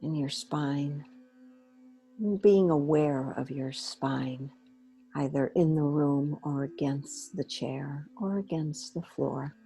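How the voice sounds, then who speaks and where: A woman leading a guided meditation in a gentle, unhurried way, pausing between short phrases. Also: sits low, fairly even intonation, creaky, faint music behind. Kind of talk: guided meditation, slow soothing instruction.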